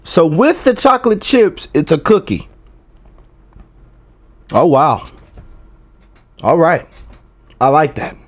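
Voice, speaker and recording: A man's voice: on the high side, very animated, slow pace, long pauses. A young American man hosting a reaction video on a headset mic, chatty and loose, often saying "you know what I'm saying". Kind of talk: casual reaction commentary.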